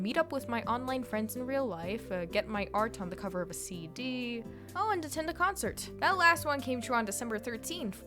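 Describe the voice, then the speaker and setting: Lively young Canadian vlogger, Russian native. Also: medium pitch. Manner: animated, self-deprecating storytelling. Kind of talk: casual vlog narration.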